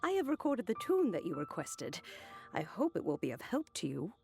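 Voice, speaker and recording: An actress playing a gentle, gracious elderly lady in a video game, polite and measured, with music behind her. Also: on the low side, huge pitch swings, vocal fry, heavily acted. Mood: nostalgic. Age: older. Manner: measured, polite, unhurried phrasing. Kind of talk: video game character acting.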